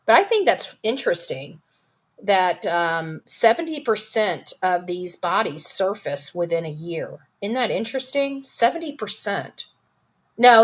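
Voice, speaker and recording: A woman speaks as the host of a casual true crime commentary. Her pitch sits in a middle range for a woman. She is opinionated and emphatic, moving between reading aloud and reacting, and she repeats phrases to stress them. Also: a bit bright.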